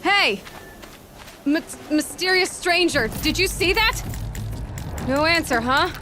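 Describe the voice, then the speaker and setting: An actress playing a bold, curious young huntress who talks to herself wryly. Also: female voice, very high voice, animated, takes it slowly, noisy background, full character voice. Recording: game audio with loud music.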